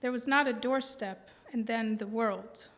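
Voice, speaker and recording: An American woman in her forties, a poet giving a live reading with deliberate phrasing and pauses. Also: fairly high pitch. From Milwaukee, American Midwest. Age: 40s. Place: indoor reading before a live audience. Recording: reverberant hall sound.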